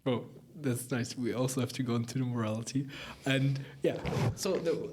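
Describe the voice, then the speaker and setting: A man with a mid-pitched voice hosts a podcast interview. He phrases things informally and tentatively, keeping it conversational, with faint background noise and ambience around his voice. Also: normal speed. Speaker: podcast host.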